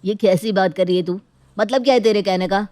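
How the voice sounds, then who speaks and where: A woman's voice: mid-range voice, a little fast, dark tone, no echo, natural voice. A woman voicing a hardworking shopkeeper, the aggrieved elder brother in a dramatized moral story, earnest and protesting. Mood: indignant.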